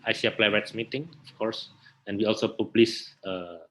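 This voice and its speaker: A man's voice: on the low side, fairly even intonation, moderate pace, fairly bright tone, slight background noise, low-quality recording. A man with an Indonesian accent answering interview questions conversationally, often searching for his words. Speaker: interview guest, theatre researcher and festival director.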